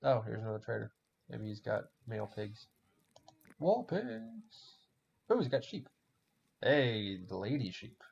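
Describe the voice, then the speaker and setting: A man's voice: on the low side, big pitch swings, measured pace, gravelly, pauses often, a little mellow, slightly rough. A man with a German accent chatting casually while playing a game, rambling, swearing and laughing often. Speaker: podcast host playing a game. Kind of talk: casual chat while gaming. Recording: dull lo-fi recording with faint music.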